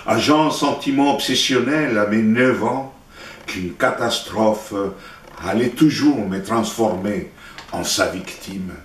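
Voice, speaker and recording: A man from Chile with a Chilean Spanish accent, a native Spanish speaker, recites his poetry in a solemn, declamatory way with deliberate pauses. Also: lowish voice, slight background noise. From Santiago, Chile. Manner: deliberate, declamatory, with pauses between short phrases. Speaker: poet reading own work. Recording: roomy echo, distant microphone.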